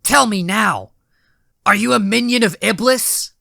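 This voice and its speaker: A man's voice: high voice, measured pace, creaky, bright, forceful tone, no room sound, clean studio sound, voice pushed up, heavily acted. A man voicing a young hedgehog hero, earnest and challenging, in a dramatic audio story with urgent questioning. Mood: demanding, alarmed. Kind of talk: dramatic audio fan-fiction character acting.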